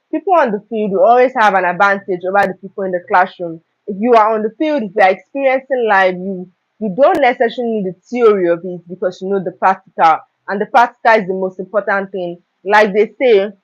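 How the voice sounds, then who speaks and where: A young woman hosting a live stream, talking earnestly about self-improvement and often checking in with "okay?" and "right?". Her throat is slightly hoarse. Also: medium pitch. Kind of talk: motivational talk on a live stream. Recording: dull lo-fi live stream audio.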